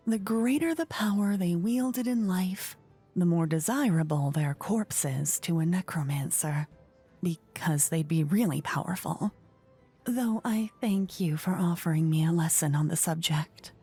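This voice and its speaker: Woman acting as a slow, hushed, playful fantasy queen. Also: lowish voice, soft background music. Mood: measured, amused. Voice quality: soft, breathy, often dropping to a whisper. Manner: languid, coy, flirtatious, courtly. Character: playful regal fantasy queen of a tiny kingdom. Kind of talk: ASMR fantasy roleplay, soft-spoken with whispering. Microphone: close ear-to-ear microphone.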